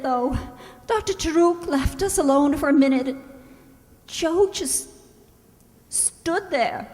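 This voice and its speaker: Woman acting a high-voiced, distraught young wife. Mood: anguished. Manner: halting, anxious, breaking off mid-sentence. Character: distraught young wife pleading for her sick husband. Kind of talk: old-time radio drama acting. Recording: roomy hall with long reverb.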